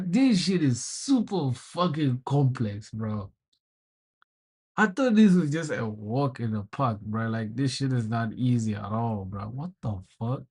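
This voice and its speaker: Swearing, exasperated young coder. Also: male voice, fairly high pitch, slightly rough. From South Sudan. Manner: swears a lot, frustrated outbursts, calls viewers bro. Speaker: programming livestreamer. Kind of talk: casual live coding stream.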